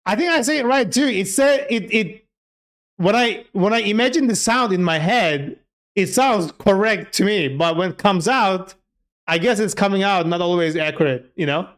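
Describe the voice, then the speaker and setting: A man's voice: sits high, normal speed, a bit bright. A man with a Turkish accent, a native Turkish speaker, chats casually and informally on a livestream, thinking aloud as he codes. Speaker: live streamer and programmer. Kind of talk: casual livestream chat while coding.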